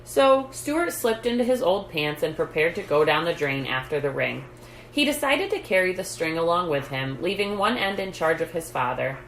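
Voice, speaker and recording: Expressive low-voiced woman reading a children's story. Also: moderate pace. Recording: roomy echo, background noise.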